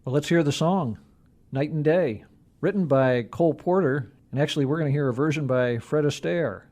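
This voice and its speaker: A man hosting a radio interview, speaking conversationally in a measured, courteous way as he puts questions to his guest. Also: fairly high pitch, a little mellow.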